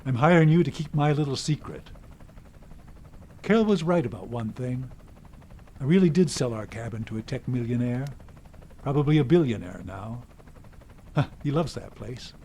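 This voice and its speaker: Man acting older runaway father, American, cynical, cagey, unsentimental, audio drama, mid-pitched, noisy ambience behind. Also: expressive, normal speed, long pauses, warm tone, natural voice. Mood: cold, resigned. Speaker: radio drama actor.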